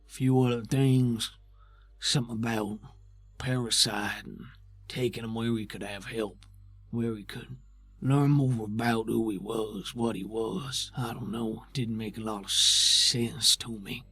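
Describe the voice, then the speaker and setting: A man playing a weary, protective, tentacled creature in a tabletop roleplay, with halting, folksy, plainspoken speech. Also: fairly low pitch, steady tone, fairly bright tone, slightly rough, no echo, heavily acted. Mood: troubled, regretful.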